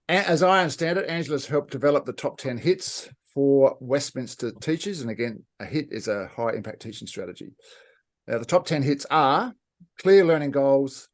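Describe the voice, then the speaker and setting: A man's voice: mid-range voice, a little mellow. Chatty Australian man hosting a webinar. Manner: chatty and informal, with quick asides and fillers. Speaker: host of a live online event. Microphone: video call.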